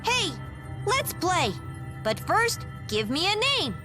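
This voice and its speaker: A woman's voice: very high voice, sing-song, slow, over loud music, full character voice. A woman playing a talking stuffed toy in a game, reciting in a chirpy, programmed way. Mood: blank, programmed. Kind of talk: English game dub acting.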